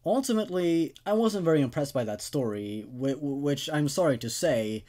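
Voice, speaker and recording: A man's voice: a little high, animated, moderate pace, warm tone. A man hosting a horror story show, moving between dramatic narration and casual review, with emphatic delivery. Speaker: host reading and reviewing horror stories.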